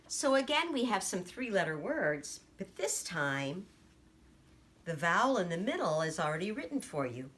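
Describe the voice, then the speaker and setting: A woman teaching young children, reading aloud and sounding out letters and words deliberately. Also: mid-range voice, a bit bright. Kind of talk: storybook read-aloud and phonics lesson.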